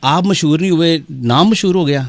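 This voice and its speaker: A man's voice: fairly high pitch, no room sound. A man with a Pakistani accent hosting a casual live session, reading out listeners' messages with teasing, theatrical banter and slipping into Punjabi and English. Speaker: radio show host on a live social media session. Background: music playing.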